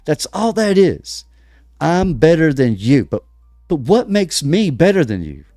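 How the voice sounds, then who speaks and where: An American man preaches in a lively, swinging voice, firing off rhetorical questions with drawn-out pauses. His voice is close and dry, with faint music underneath. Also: a little high, no echo. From United States. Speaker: podcast host. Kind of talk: preaching-style religious commentary.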